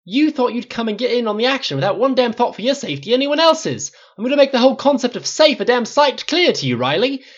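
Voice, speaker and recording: A man's voice: very high voice, very animated, quick pace, hardly any pauses, dull audio, pitched above the performer's own voice, heavily acted. Man acting a livid, roaring ranch boss. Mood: furious. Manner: snapping, barking, forceful.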